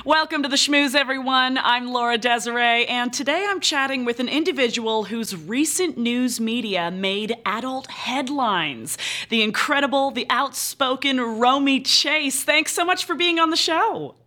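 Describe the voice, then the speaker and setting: A woman's voice: high voice, normal speed, pushed and bright. Bubbly lady host reading news then chatting, music playing behind her. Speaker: podcast host. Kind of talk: scripted news read and interview hosting. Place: studio.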